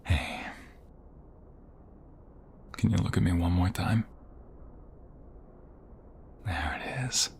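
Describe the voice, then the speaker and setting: A man acting a protective mobster husband in an ASMR romantic roleplay, tender but fierce when threatened, with a soft, breathy voice that often drops to whispers. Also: low-pitched, lively intonation, extremely slow, pauses often, a little hiss or hum, exaggerated voice. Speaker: voice actor.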